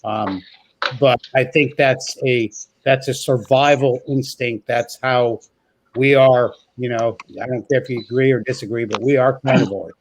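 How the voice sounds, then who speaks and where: A man hosts a live-stream debate in a plainspoken, emphatic way. His voice sits at a middle pitch. Faint noise runs under the line. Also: fairly even intonation, measured pace, poor audio. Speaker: host.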